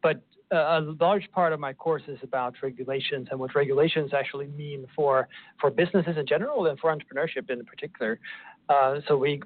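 Swedish-accented professor on a phone line. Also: male voice, mid-range voice. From Sweden. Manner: measured, with frequent repeated words. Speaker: professor and guest. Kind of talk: conversational interview.

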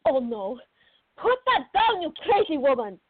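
A fiery young female student played by a woman, very high in pitch and swooping widely. She is excitable and dramatic, in radio play style, on a thin phone-like recording. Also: unhurried, no room sound. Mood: alarmed, angry. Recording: dry, thin phone-like band.

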